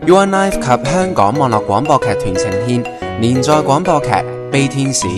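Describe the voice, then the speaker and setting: Male announcer over loud music. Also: mid-range voice, expressive, normal speed. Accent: Hong Kong Cantonese. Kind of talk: scripted radio drama credits and promo narration. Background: prominent music.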